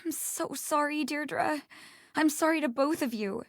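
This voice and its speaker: A high-pitched woman's voice in a dry game recording. She is a guilt-ridden, frightened character. Her delivery is halting and pleading. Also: dry recording. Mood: guilty, distraught. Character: guilt-stricken, frightened woman confessing to setting a fire. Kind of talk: video game voice acting.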